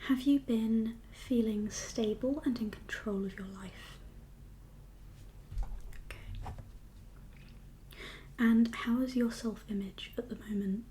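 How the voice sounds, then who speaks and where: Woman whispering softly, mellow and calm. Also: fairly high pitch, slight background noise. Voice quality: soft breathy whisper. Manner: calm, reassuring, unhurried. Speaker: ASMR performer playing a doctor. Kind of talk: whispered ASMR medical roleplay.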